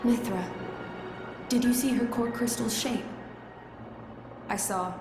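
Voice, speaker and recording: A quietly serious young woman in a game. She speaks slowly and calmly, her voice drenched in long reverb, with music behind. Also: on the high side. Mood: subdued, serious. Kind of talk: video game cutscene acting.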